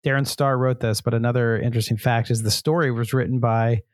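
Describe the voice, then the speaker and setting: A man co-hosting a podcast in casual chat, eager to share facts and quick to laugh. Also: mid-range voice, steady tone, no echo, clean studio sound. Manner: chatty, shares trivia facts, laughs often. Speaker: podcast co-host.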